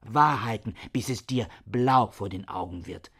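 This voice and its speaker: Husky, slow-spoken bitter scholar. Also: male voice, fairly low pitch, fairly bright tone. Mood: menacing, insistent. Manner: pedantic, declamatory, measured. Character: bitter misogynist reclusive scholar, cold and obsessive. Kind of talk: radio drama acting, literary dialogue.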